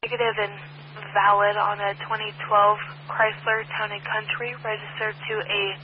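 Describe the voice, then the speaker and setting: A woman's voice: mid-range voice, level tone, moderate pace. A woman working as a police dispatcher, reading back records and letters in clipped radio phrases. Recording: dull scanner radio with faint hum.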